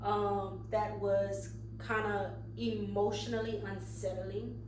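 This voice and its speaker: Thirty-year-old woman, slow and thoughtful. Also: mid-range voice, little pitch movement. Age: 30s. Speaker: podcast co-host. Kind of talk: casual chat. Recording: echoey room, mic at a distance, faint hum.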